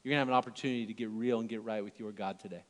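A man's voice: lowish voice, steady tone, very fast. Preaching man in a reverberant hall. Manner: earnest preaching with wide swings in loudness, dropping to near-whispers. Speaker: pastor. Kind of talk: sermon.

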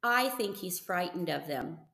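An excitable, earnest farm boy of thirteen from a storybook, acted out by a woman reader. He talks eagerly and adoringly, with some creak in the voice, in an echoey room. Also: fairly low pitch, measured pace, exaggerated voice. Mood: defiant.

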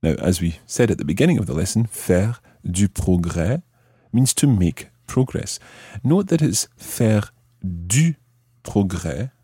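A man with a low, clear voice teaches a language lesson in a deliberate way. His tone is mellow and the recording is dry and close, as he moves between explanations and French examples. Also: animated, no room sound.

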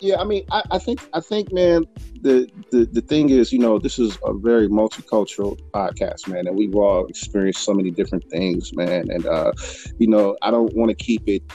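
Male podcast host, gravelly and lowish, jokey and loose, faint music under. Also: normal speed. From Richmond, California. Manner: animated, jokey, many fillers and restarts. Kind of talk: casual podcast conversation.

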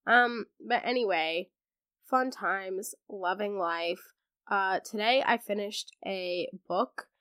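A woman's voice: medium pitch, slow, a bit bright, smooth, no room sound, clean studio sound. A teenage girl hosting a podcast, sounding congested as she recovers from laryngitis, chatting casually with a sarcastic streak and lots of fillers. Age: teens. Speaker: podcast host. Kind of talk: casual chat.

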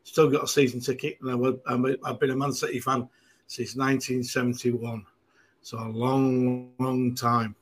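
A man in his sixties from Manchester with a Mancunian accent, a podcast guest chatting casually and rambling, with many fillers and restarts. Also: medium pitch. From Manchester, England.

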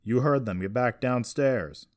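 A man's voice: sits low, steady tone, brisk, no room sound, clean studio sound, lightly acted. Man playing a commanding, terse middle-aged father. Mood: ordering. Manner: authoritative, terse, directive.

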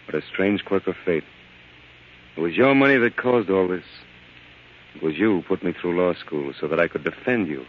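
An actor playing an earnest lawyer husband with a hesitant, melodramatic delivery in 1940s radio drama style. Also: male voice, sits low, slight background noise, close to an everyday voice. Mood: grave, cold. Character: smooth-talking lawyer husband feigning worried innocence. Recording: dull old radio broadcast.

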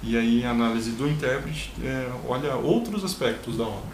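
A man's voice: medium pitch, lively intonation, moderate pace. A young adult man, a guest violinist explaining at length in a casual interview. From Brazil, São Paulo state. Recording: roomy with background noise.

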